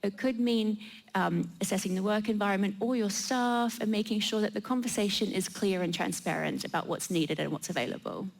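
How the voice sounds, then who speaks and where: A woman's voice: mid-range voice, fairly quick, vocal fry, low-quality recording. A woman panellist giving a methodical, explanatory presentation at a live event.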